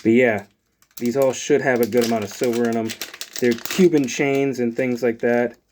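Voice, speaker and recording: A man's voice: medium pitch, normal speed, slight background noise. A man, chatting casually as he unboxes items for his channel, rambling with lots of fillers. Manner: rambling, filler-heavy, relaxed. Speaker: channel host.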